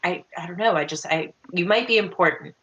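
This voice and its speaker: A young woman roleplays over a video call. Her voice is bright and a little low for a woman, and she speaks haltingly, circling back and repeating herself. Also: dull audio, a little hiss or hum. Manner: halting, repeats words, rambling. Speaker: tabletop game player. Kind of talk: improvised in-character roleplay over online call. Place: home room on a video call.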